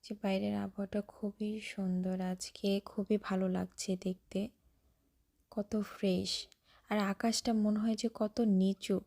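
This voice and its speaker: A woman's voice: medium pitch, flat delivery, measured pace, gravelly, warm tone. A woman narrating a casual vlog, describing each action as it happens and often repeating herself. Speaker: vlogger.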